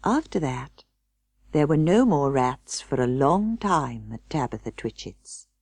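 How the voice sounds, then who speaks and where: British female audiobook narrator, children's classic. Slow, measured, expressive, very dry close recording. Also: rumbling low, dry recording. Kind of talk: scripted children's storybook narration.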